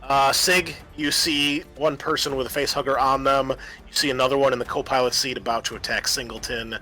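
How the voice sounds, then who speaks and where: A man's voice: medium pitch, fairly even intonation, fairly quick, pushed and bright, faint music behind, rough recording. A man acting as game master, narrating a tabletop roleplaying scene descriptively and speaking directly to his players.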